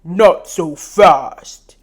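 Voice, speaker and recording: A woman voicing a stern, sarcastic young man who speaks low and clipped. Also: animated, slow pace, a little mellow, full character voice. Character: stern, mocking young man, deep-voiced captor.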